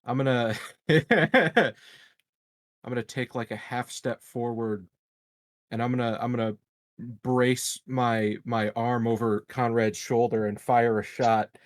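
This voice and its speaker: A man plays in a tabletop roleplaying game, talking casually with a joking, mock-innocent manner. His voice sits in a middle pitch, and the sound is very dry. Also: fairly even intonation, slow pace, no room sound.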